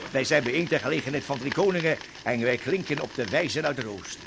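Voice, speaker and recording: A man's voice: mid-range voice, a little fast, creaky, natural voice. A man acting in a Dutch radio drama as a ship's skipper rallying his stranded men, sometimes hesitant, repeating words, with theatrical storytelling. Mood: merry storytelling. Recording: noisy radio drama recording.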